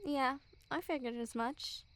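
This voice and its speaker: A woman's voice: high voice, measured pace, fairly bright tone. A young woman playing a smug, mocking school bully girl who teases with a hint of care, in an intimate ASMR roleplay. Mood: probing, teasing. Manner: mocking, teasing, giggly. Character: teasing, smug school bully girl, secretly a little caring. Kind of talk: ASMR girlfriend roleplay, intimate one-sided dialogue.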